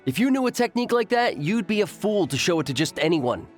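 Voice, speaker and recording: A man's voice: high voice, sing-song, fast talker. A man playing a stubborn, defensive young tribesman in an anime game, speaking insistently and indignantly. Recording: background music under the voice.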